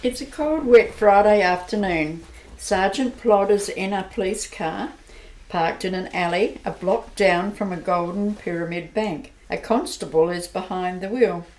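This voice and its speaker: A woman narrating an amateur radio play in a plain, even storytelling manner. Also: mid-range voice, a little slow, low-quality recording.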